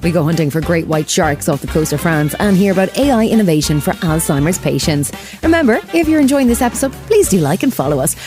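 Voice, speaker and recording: A woman's voice: lowish voice, big pitch swings, quick pace. A woman hosting a news podcast, reading scripted narration. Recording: clear music bed underneath. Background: music.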